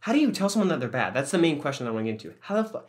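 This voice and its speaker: A man's voice: a little high, big pitch swings, very fast. A young man co-hosting a podcast, telling stories in a rambling, joking way and swearing often. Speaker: podcast co-host. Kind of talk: casual chat.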